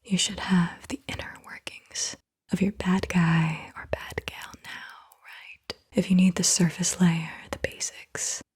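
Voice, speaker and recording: A woman speaks in a low, extremely breathy whisper as an ASMR host. Her delivery is soft and deliberate, with hardly any clear voicing. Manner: soft-spoken, deliberate questioning.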